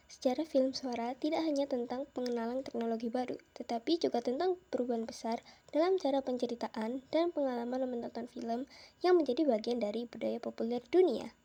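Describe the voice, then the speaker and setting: Young female student presenter, a little hoarse and under the weather, easygoing voice-over delivery. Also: a little high, warm tone. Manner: chatty, peppers talk with "nah" and "gitu deh". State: hoarse, a bit unwell. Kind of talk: casual educational voice-over. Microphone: home-recorded dubbing.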